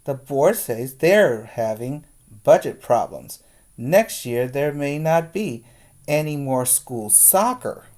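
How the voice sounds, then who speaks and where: A man's voice: medium pitch, expressive, slow pace, darkish tone. A man voicing a worried mother reading out bad news in a children's story.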